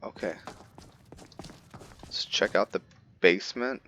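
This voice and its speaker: A man hosting a gaming video, musing aloud in a relaxed way as he plays and comments on what he finds. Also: fairly low pitch, slow pace, a little hiss or hum. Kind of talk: casual game commentary.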